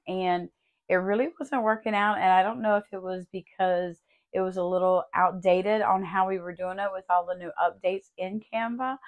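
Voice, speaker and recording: A woman with a lowish voice hosts a design tutorial. Her voice is still slightly raspy as it comes back. She chats warmly and walks through each step one at a time. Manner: friendly, chatty, step-by-step explaining. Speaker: craft tutorial host. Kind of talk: casual tutorial walkthrough.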